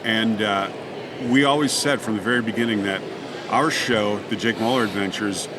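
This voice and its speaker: A man's voice: fairly low pitch, moderate pace. A man talking casually as a podcast guest about his work as a writer. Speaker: guest, audio drama writer. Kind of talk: casual interview chat. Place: busy convention center event floor. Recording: noisy convention hall background.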